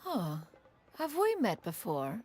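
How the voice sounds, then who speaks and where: A woman's voice: mid-range voice, huge pitch swings, slow pace, a little mellow, a little hiss or hum, heavily acted. A woman playing a lofty, self-assured immortal adept in a video game, speaking in a formal, archaic and haughty manner. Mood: courteous, solemn.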